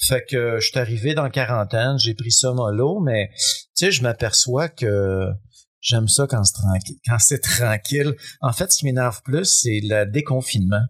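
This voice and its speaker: A man with a Québécois French accent, talking as a guest in a relaxed, rambling way with many fillers. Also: medium pitch, normal speed. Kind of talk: casual conversation.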